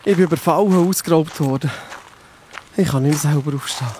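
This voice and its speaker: Male actor as injured, pleading traveller, high voice, halting and groaning, Swiss German accent, slightly noisy recording. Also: darkish tone, natural voice. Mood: desperate pleading. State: in pain. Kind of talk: children's audio drama.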